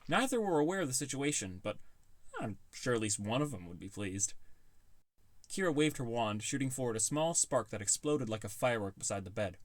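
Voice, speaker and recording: A man's voice: medium pitch, expressive, fairly quick, dry recording. A man reading scripted first-person audiobook narration.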